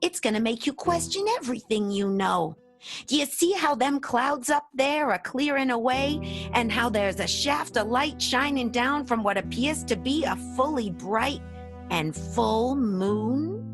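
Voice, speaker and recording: Woman as a high-voiced folksy apprentice werewolf. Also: expressive, measured pace, music in the background. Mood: sly, menacing. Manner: rustic, ungrammatical speech. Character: folksy, naive, scheming apprentice werewolf with hayseed manner. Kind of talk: improvised old-time radio play.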